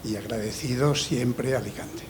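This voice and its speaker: A man in his sixties from Barcelona speaks as a former handball player. He reminisces with gratitude and keeps restarting his phrases. Faint noise runs in the background. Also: medium pitch. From Barcelona, Spain. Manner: reminiscing, grateful, with halting restarts.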